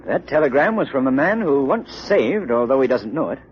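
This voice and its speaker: An actor playing a suave, resolute gentleman crime fighter in a 1930s radio drama on an old recording. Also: medium pitch, big pitch swings, a little fast, highs cut off, slight background noise, natural voice. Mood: easy, assured.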